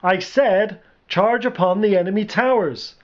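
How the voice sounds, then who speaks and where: A man's voice: a little high, lively intonation, moderate pace, dull audio, natural voice. A man voicing a brash, determined rock roadie turned battlefield general, speaking emphatically in a storybook reading. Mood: shouting, frustrated.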